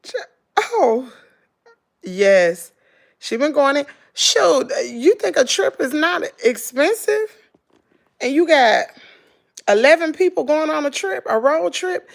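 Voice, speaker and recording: A middle-aged woman with a Southern American accent, hoarse, chatting casually and gossiping with her viewers in a dramatic way. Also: high voice, big pitch swings, slow, long pauses. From Southern United States. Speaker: vlogger.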